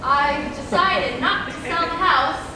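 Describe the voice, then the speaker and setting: A woman's voice: sits high, takes it slowly. A young woman playing a grand, imperious, self-pitying jilted woman in a school stage comedy, with a theatrical delivery. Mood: resolved, grand. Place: stage in a hall, far microphone. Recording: distant echoey stage recording, noisy.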